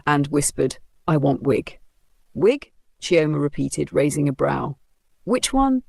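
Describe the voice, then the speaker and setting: A woman's voice: sits low, vocal fry, darkish tone, dry recording, studio quality. A woman telling a comic folktale as dramatic scripted narration. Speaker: storyteller.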